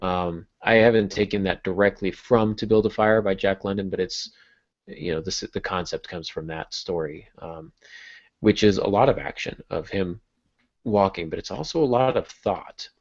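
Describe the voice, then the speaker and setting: A man's voice: low-pitched, normal speed. A man co-hosting a writing podcast, explaining things in an informal, conversational way. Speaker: podcast co-host. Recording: roomy, slightly dull podcast recording.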